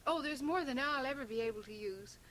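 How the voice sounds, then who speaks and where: High-voiced, artless, chatty village wife. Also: female voice, fairly quick, fairly bright tone, poor audio. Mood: bright, kindly. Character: gentle, generous, scatterbrained village wife, simple and childlike. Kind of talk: radio drama acting. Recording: hissy home cassette transfer of radio drama.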